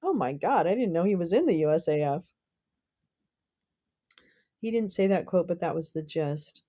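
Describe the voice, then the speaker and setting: Chatty, swearing woman livestreamer, low voice. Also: lively intonation, moderate pace, dark tone. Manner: chatty, swears, laughs often, rambling asides. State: losing her voice. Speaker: livestream host. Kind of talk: casual livestream chat reading viewer comments.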